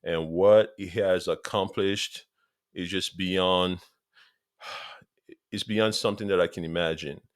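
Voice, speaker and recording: A man's voice: sits low, steady tone, takes it slowly, pauses often, no room sound, clean studio sound. A man in his thirties from Congo, with a Congolese accent, eagerly talking football straight to his viewers in a casual vlog and repeating points for emphasis. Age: 30s. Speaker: football vlogger.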